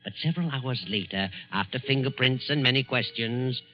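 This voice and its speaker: A man's voice: mid-range voice, normal speed. American male radio narrator over dramatic music. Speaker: narrator and announcer. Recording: dull 1940s radio broadcast with music.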